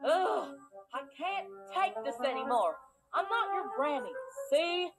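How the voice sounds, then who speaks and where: A woman's voice: high-pitched, expressive, takes it slowly. A woman voicing a brash, apple-greedy pony wolf in a cartoon fairy tale. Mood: indignant. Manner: exasperated, blustering, folksy. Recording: music behind the voice.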